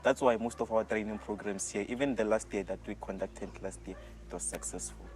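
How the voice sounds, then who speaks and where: A man's voice: fairly low pitch, steady tone, a little fast, rough recording. A man in his early twenties with a South African accent, giving a personal testimony as a volunteer in an interview style. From rural South Africa. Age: early 20s. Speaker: youth retreat volunteer.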